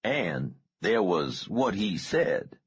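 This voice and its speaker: An easygoing male investigator, voiced by a man in an audiobook, with a breathy, husky bass. He questions gently and reassuringly, with lively intonation and no hurry. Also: lo-fi sound, exaggerated voice. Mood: probing. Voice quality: very deep, husky. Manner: unhurried, probing, gently reassuring. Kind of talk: audiobook character dialogue.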